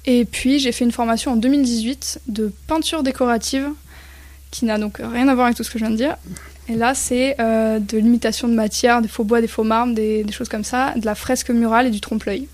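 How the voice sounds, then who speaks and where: A woman from Spain speaks as a guest artist in a radio interview, her voice fairly high. She answers thoughtfully, stopping with fillers as she searches for words. The recording is dry and close. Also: fairly even intonation, a little fast, darkish tone, no room sound.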